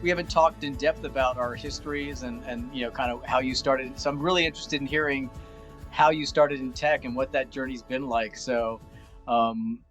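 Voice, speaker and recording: A man's voice: medium pitch, steady tone, moderate pace, fairly bright tone, soft background music. A man hosting an interview, rambling enthusiastically with many fillers. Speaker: host. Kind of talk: casual interview chat.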